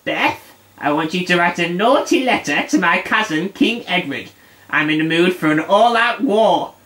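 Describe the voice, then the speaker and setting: A man's voice: high voice, animated, moderate pace, bright, forceful tone. A man voicing a pompous, petulant emperor puppet, haughty and plummy, in a comic puppet show. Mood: imperious, scheming.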